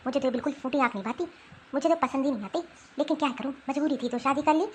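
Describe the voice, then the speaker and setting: Bullying older cartoon mother-in-law, played by a woman: very high, bossy, scornful, scolding. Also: fast talker, a little mellow, a little hiss or hum, close to an everyday voice. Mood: disdainful. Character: harsh, domineering older mother-in-law who bullies her daughter-in-law. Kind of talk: Hindi cartoon family drama acting.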